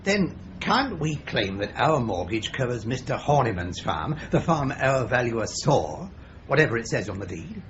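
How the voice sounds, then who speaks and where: A man's voice: mid-range voice, very animated, normal speed. An actor playing a prim, fussy loan society manager who frets over his security, in a radio drama. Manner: prim, fussy, genteel exclamations. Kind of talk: BBC-style radio drama acting. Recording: dull lo-fi radio play with faint hiss.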